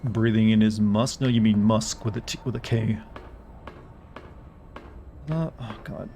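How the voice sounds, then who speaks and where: A man streaming a game, chatting wryly with joking asides, his voice a bit raspy. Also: on the low side, steady tone, measured pace, warm tone, a little hiss or hum. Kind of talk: live gameplay commentary. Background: faint game music.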